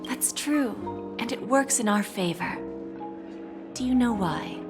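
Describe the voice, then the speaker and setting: A woman voicing a poised, calculating senior executive in a video game, breathy and unhurried, coaxing like a mentor. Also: fairly high pitch, lively intonation. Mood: calculating, composed. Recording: background music behind voice.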